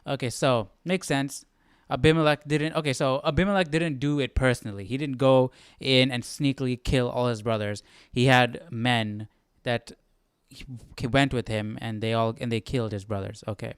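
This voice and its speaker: A man hosting a Bible study, casually thinking aloud as he reads, haltingly asking himself questions. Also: medium pitch, fairly even intonation, clean tone, no room sound, studio quality. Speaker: host of a live Bible study.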